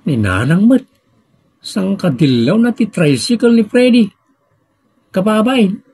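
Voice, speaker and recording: A man's voice: high voice, expressive, measured pace, loud music behind the voice. A man with a Filipino accent playing a grumbling adult family member in an audio drama, talking conversationally. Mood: concerned.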